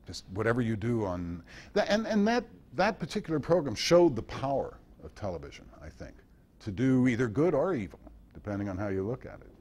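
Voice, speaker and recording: A man giving an informal talk and answering questions in a halting, reflective storytelling manner. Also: lowish voice, slightly rough. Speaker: guest speaker.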